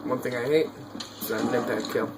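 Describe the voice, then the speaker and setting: A man's voice: mid-range voice, steady tone, fairly quick, heavy background noise. A man giving casual, offhand gameplay commentary, muttering asides to himself in a home recording with game sounds behind him. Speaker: gaming video creator.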